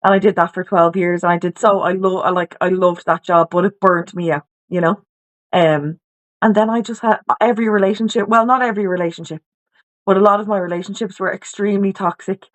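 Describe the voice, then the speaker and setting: A woman's voice: medium pitch, steady tone, fairly quick. An Irish woman from Dublin, a podcast guest, talking candidly and informally about her life on a video call, with many restarts and "you know"s. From Ireland, Dublin. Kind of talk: candid personal story in conversation.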